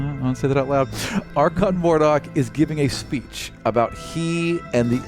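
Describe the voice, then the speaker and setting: A man speaking as game master, narrating and chatting at a tabletop game. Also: mid-range voice.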